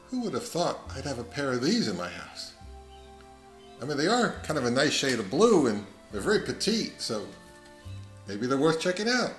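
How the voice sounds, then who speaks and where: A man's voice: mid-range voice, wildly swooping pitch, moderate pace, a bit bright, faint music behind. A middle-aged man narrating a product review in a conversational, musing way, often posing rhetorical questions as the host.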